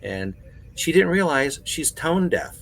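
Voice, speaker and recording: A man in casual podcast conversation, telling stories in an animated way with plenty of conversational fillers. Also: a little high, slow, gravelly, slight background noise, low-quality recording. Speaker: podcast panelist. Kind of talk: casual chat.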